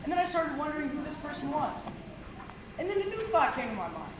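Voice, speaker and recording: A man's voice: very high voice, huge pitch swings, fast, heavily acted. Young man playing a dramatic jokester in a stage comedy; distant, very noisy theater recording. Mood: theatrical storytelling. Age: young adult. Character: joking, dramatic young man among friends. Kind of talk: amateur stage play acting. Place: stage in a school auditorium. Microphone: far camera. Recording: distant camcorder in echoey noisy theater, audience.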